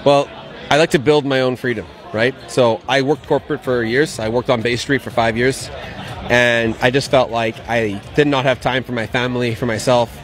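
A man's voice: mid-range voice, fairly even intonation, moderate pace, fairly bright tone, soft background music. A man, around his thirties, answering questions about his work in an outdoor street interview. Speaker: interviewee, investment banking business owner. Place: outdoor patio at an event. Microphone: handheld interview mic.

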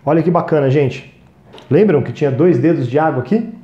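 A man in his thirties with a Brazilian Portuguese accent, hosting a cooking show and chatting to viewers as he works, often checking in with a quick "right?". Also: on the high side, mellow, reverberant, highs cut off, slight background noise. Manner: chatty, talks to viewers as "gente", frequent "tá?" checks. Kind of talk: cooking demonstration. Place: small studio kitchen set.

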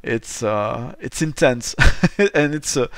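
A middle-aged man from Belgium hosts a relaxed podcast chat. He rambles and restarts sentences as he goes. His voice is mid-pitched and recorded close and dry. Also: takes it slowly, dry recording. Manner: chatty, rambling, many restarts and laughs. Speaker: podcast host. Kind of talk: casual podcast interview.

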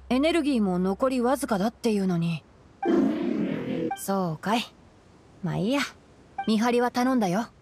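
Woman voicing a calm, studious young male forest ranger. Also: fairly high pitch, a little hiss or hum, natural voice. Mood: gentle. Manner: measured, articulate, gently admonishing. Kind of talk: Japanese video game voice acting.

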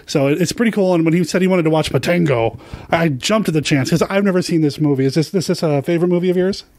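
A man's voice: fairly high pitch, fast talker, a little mellow, no room sound. A man hosting a podcast in a conversational, informal way. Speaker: podcast host.

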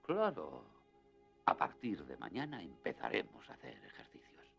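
An actor voicing a gruff but gentle old grandfather in a cartoon dub, with an earnest, measured delivery. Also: very deep voice, wildly swooping pitch, dull audio, faint music behind, exaggerated voice. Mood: earnest, encouraging. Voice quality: gravelly. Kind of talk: dubbed cartoon acting.

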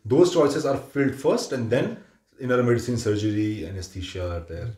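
A man in his thirties from India hosts a relaxed sit-down chat on a sofa, his voice sitting at a middle pitch. He explains at length, with filler sounds and restarts. The sound carries a noticeable room echo from a camera mic some distance away. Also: moderate pace. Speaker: interviewer and channel host. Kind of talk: casual conversational interview. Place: living room, seated on a sofa indoors. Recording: echoey room.

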